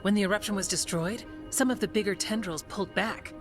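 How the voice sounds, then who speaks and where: An actress playing a steadfast, practical warden in a fantasy video game, matter-of-fact and resolute. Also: female voice, mid-range voice, fairly quick, lightly acted. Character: steadfast, practical female warden, dutiful and reassuring. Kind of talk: video game dialogue acting. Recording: game music underneath.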